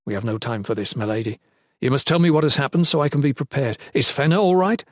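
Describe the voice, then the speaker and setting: A man's voice: mid-range voice, fast, dry recording, lightly acted. A man voicing a defiant, loyal guardsman in a fantasy audiobook, speaking firmly with dry formality and stoic pride. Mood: formal, urgent.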